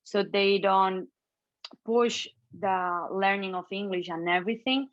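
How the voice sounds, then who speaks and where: A woman from Catalonia with a Spanish accent, speaking English as a podcast guest in a lively, quick, easygoing way. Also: medium pitch, dry recording, highs cut off. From Catalonia, Spain. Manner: lively, quick bursts, laughs easily. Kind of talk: casual conversation.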